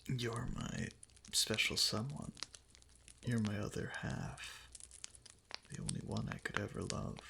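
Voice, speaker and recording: A man playing an obsessively devoted kitsune husband in an intimate romantic ASMR roleplay, speaking softly and hesitantly in a breathy, often whispered voice close to the mic. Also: lowish voice, background noise, heavily acted. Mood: adoring, wistful. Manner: soft, hesitant, clingy pillow talk. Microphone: close ASMR microphone.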